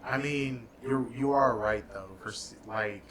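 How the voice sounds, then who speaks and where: A young adult man co-hosting a casual podcast, talking in a halting, hesitant way with many fillers and restarts. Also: medium pitch, a bit bright, slight background noise. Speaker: podcast co-host and video editor. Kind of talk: casual chat.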